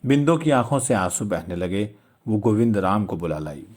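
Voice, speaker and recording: A man's voice: on the low side, expressive, moderate pace, a little mellow. A man narrating a literary story in a dramatic storytelling style.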